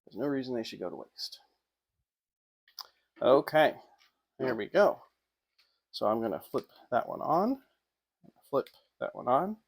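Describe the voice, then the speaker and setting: A man's voice: mid-range voice, very animated, measured pace, creaky, long pauses. A man giving a casual hands-on unboxing review in an informal, off-the-cuff way. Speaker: product reviewer.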